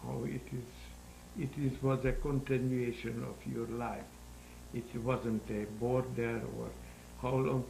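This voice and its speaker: A man in his nineties with a Hungarian accent, giving halting, deliberate interview answers about his own life in non-native English. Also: mid-range voice, flat delivery, creaky, a little mellow. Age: 90s. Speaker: interview guest, sculptor. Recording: roomy echo, faint background noise.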